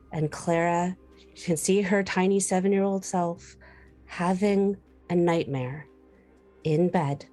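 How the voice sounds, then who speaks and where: Woman, low voice, unhurried halting delivery, horror game narration, music underneath. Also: a little mellow. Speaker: tabletop roleplayer.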